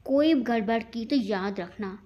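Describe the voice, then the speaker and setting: A woman voicing a cool, controlled young man who speaks in measured threats in a romantic thriller story. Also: on the high side, mellow, lo-fi sound, natural voice. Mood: threatening.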